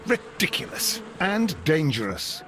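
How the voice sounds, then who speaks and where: An actor playing a courteous, easily exasperated Victorian English doctor and sidekick in a video game, with a proper, gently sardonic manner. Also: male voice, a little high, sing-song, measured pace, a little hiss or hum, heavily acted. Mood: alarmed, indignant.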